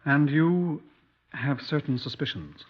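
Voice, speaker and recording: An actor playing a brisk, dry-humoured British police inspector in a 1950s radio drama, clipped and courteous in manner. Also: male voice, fairly high pitch, expressive, slightly rough, full character voice. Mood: probing. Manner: clipped, courteous, dryly probing. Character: brisk, dry-humoured Scotland Yard police inspector narrating his case. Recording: dull 1950s radio recording.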